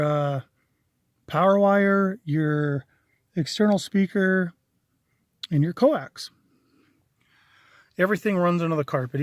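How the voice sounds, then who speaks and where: Man with higher-pitched voice, casual walkthrough. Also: a bit bright, no room sound, studio quality. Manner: plain-spoken, matter-of-fact, folksy. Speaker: DIY installer presenting own work. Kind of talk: casual video walkthrough narration. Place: in and around a parked vehicle.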